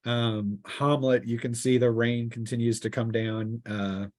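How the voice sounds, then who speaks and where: A man running a tabletop fantasy game as game master, improvising narration and often pausing mid-sentence. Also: medium pitch, steady tone, darkish tone, no echo, clean studio sound.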